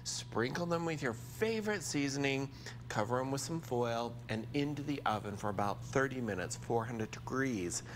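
An American man in his sixties gives a cooking demonstration in a studio kitchen. His voice sits at a middle pitch and he talks through each step conversationally. There is clear ambient noise behind him. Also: expressive, normal speed. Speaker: TV chef presenting a cooking segment.

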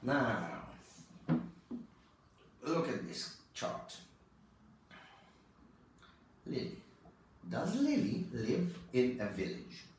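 A man's voice: mid-range voice, wildly swooping pitch, slow, pauses often, distant mic, slight background noise. Man quizzing a child in an echoey classroom. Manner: patient, prompting and correcting. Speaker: English teacher. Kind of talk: oral test questioning. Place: small classroom, indoors. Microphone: far camera.

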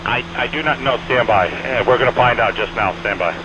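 A man directs arriving planes over aviation radio at a quick pace. His instructions are clipped and repeated, with courteous touches. The sound is narrow and dull, with clear engine noise behind it. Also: mid-range voice. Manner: clipped, repetitive directives with courteous sign-offs. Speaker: air traffic controller at an airshow arrival. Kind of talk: rapid radio traffic instructions. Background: small airplane engine drone.

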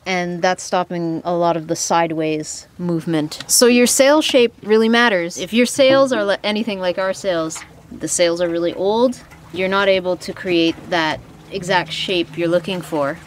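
A woman's voice: lowish voice, normal speed. A woman in her thirties explaining sailing basics in a casual, practical way, outdoors on a sailboat with a handheld mic. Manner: explains with hand gestures, relaxed and practical. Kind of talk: casual explanatory talk to camera. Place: outdoors on the deck of a sailboat at sea. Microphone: handheld furry lavalier held near the mouth. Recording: faint wind and water noise.

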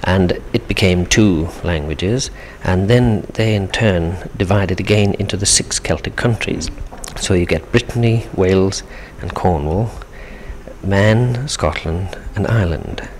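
Low-voiced man explaining patiently. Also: measured pace, darkish tone. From Isle of Man. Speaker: Manx language expert guest. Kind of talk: radio interview, explaining. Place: radio studio. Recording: faint hiss on 1970s radio tape.

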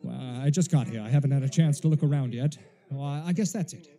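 An actor playing an earnest, excitable male news reporter in a 1930s-style radio drama, formal but prone to stammer. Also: mid-range voice, fast talker, natural voice. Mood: flustered, awed. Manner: formal announcer delivery, stammering when flustered.

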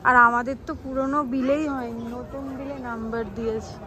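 A woman's voice: high-pitched, fairly even intonation, brisk. Chatty young woman vlogger. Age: young adult. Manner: chatty, talks straight to viewers. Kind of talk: casual vlog narration. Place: busy cafe, then a car.

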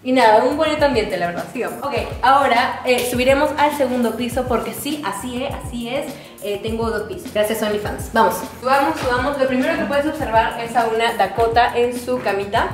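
A woman's voice: fairly high pitch, brisk. A woman in her twenties with a Peruvian accent, chatting casually and playfully with mock seriousness as she gives a house tour. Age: 20s. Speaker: vlogger giving a house tour. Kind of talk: casual, joking house-tour chat to camera. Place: indoors, small hard-walled rooms of a house. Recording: echoey rooms on a camera mic. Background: clear background music.

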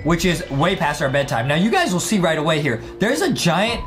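A young American man hosting casual, excited gameplay commentary, slangy and often repeating himself for young viewers. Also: a little high, a little fast. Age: young adult. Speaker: gaming show host. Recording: music behind the voice.

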